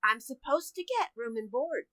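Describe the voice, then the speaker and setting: A woman's voice: fairly high pitch, expressive, moderate pace, pushed and bright, dry recording. A woman playing a brash, cheerful, stubborn housekeeper in a radio comedy, with blunt, slangy, folksy speech. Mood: unbothered, stubborn. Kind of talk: old-time radio comedy acting.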